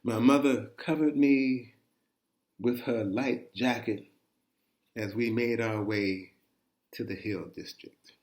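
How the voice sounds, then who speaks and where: A man reading a first-person chronicle aloud as a dramatic storyteller, conversational and expressive, with deliberate emphasis on his phrasing. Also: medium pitch.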